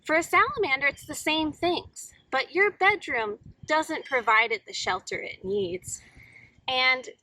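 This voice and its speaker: A woman's voice: sits high, lively intonation, measured pace, bright, forceful tone, poor audio. A woman storyteller reading and explaining things simply to young children in an instructive manner. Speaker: children's storyteller, museum educator.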